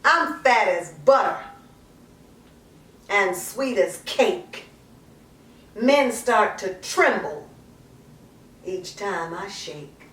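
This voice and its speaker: A woman's voice: medium pitch, expressive, extremely slow, pauses often, a bit bright. A woman performing a playful poem as sassy, flirtatious women boasting of their charms, teasing and savoring each phrase. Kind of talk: playful dramatic poetry reading. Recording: roomy echo, distant microphone.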